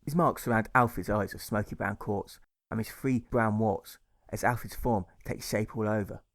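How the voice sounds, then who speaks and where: A man reading a rhyming audiobook story aloud in measured, rhythmic phrases. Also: on the low side, no room sound, clean studio sound. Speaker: author reading his own audiobook. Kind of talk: scripted storybook narration in rhyme.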